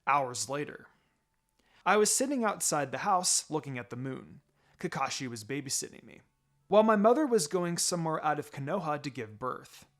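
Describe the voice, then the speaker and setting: A man reading fan fiction as a dramatic first-person narrator, with halting pauses and background music. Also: fairly high pitch, sing-song, a bit bright. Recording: music behind the voice.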